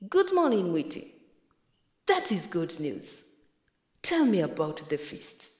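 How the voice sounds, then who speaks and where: An eager old village man who schemes about feasts, acted out by a woman in a children's storybook reading. The lines come slowly and emphatically, with lively swoops in pitch, in an echoey room. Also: exaggerated voice. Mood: pleased. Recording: echoey, roomy sound.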